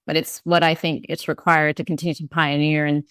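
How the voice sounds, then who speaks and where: A woman with a low, smooth voice hosts an interview and puts measured, probing questions to her guest. The sound is dry and close to the mic. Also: little pitch movement, a bit bright, dry recording. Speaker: podcast host.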